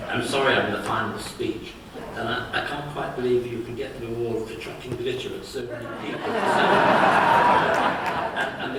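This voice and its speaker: A man's voice: mid-range voice, noisy background. A man giving a modest, self-deprecating award acceptance speech in a theatre. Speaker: award winner. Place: theatre stage. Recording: echoey hall from far off.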